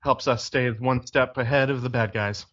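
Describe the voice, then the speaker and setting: An easygoing police detective partner, played by an actor who cracks jokes during interviews. His mid-pitched voice comes through slightly muffled. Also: fairly even intonation, moderate pace, fairly bright tone, close to an everyday voice. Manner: easygoing, joking.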